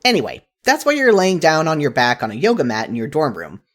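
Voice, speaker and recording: A narrator reading a second-person story aloud in an expressive style, as in a fan fiction audiobook. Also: mid-range voice, brisk.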